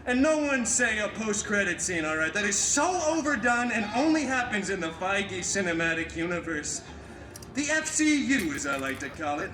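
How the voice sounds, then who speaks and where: A man's voice: high-pitched, sing-song, normal speed, background noise. A man playing a wisecracking, flamboyant superhero who tells stories in a chatty, theatrical, sarcastic way at a live comedy show. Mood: chatty, sardonic. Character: wisecracking, flamboyant adult male superhero storyteller. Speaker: theme park show performer. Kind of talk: live comic storytelling stage show. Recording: echoey live amplified show with music.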